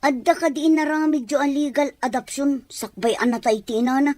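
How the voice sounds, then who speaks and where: A woman's voice: sits high, fairly quick, a little mellow, no room sound, lightly acted. A woman playing a nosy, blunt, teasing relative in an audio drama. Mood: probing, accusing.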